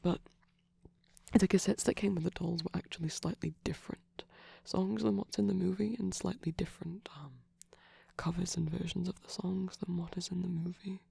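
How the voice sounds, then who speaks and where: A young adult performer playing a shy, nerdy boyfriend in a casual, soft-spoken ASMR ramble, enthusiastic but hesitant. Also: mid-range voice, level tone, normal speed, creaky, breathy edge, no echo.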